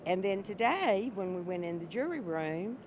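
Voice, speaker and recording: A woman serving as a juror, answering interview questions in a loud voice, often restarting and repeating herself. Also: medium pitch, big pitch swings, moderate pace, noisy background.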